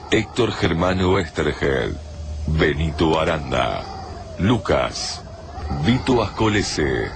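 A man reading the end credits of a radio drama in a slow, deliberate way. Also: very deep voice. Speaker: credits announcer. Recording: noisy radio recording.

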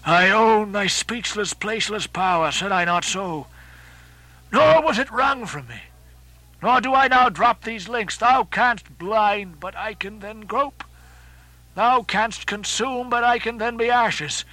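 A man's voice: high voice, measured pace, sharp tone, voice pushed up, rough recording. A man voicing an obsessed old sea captain who declaims in archaic, grandiose speech. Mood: defiant, exalted. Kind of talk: dramatic audiobook reading.

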